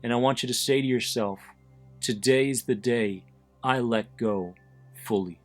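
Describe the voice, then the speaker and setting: Man, guided visualization, very slow pace, soothing and deliberate, medium pitch, background music. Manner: deliberate, soothing, with pauses between short phrases. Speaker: host of an anxiety support podcast, guiding listeners. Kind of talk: guided visualization, meditation narration.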